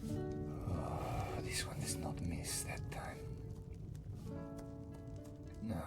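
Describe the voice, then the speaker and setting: Low, breathy, mysterious cat-man. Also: fairly even intonation, in a whisper, heavily acted. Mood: somber, haunted. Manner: rambling, third-person speech, theatrical pauses. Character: mysterious old male Khajiit cat-man wanderer, wry, world-weary, teasing. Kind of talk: ASMR fantasy roleplay monologue. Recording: music behind the voice. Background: prominent background music.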